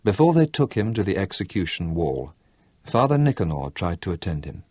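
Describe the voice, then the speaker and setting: Husky low-voiced male audiobook narrator. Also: big pitch swings, brisk, a little mellow, no echo, studio quality.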